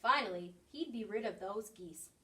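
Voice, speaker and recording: A woman reading a children's picture book aloud in an expressive storytelling style. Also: medium pitch, moderate pace, distant mic, slight background noise. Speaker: story reader.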